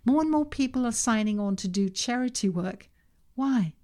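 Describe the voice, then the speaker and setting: A woman whose first language is Danish, reading her own self-help podcast in a calm, measured way with slow emphasis on key words. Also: a little high. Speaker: podcast host and author. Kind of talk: scripted self-help narration.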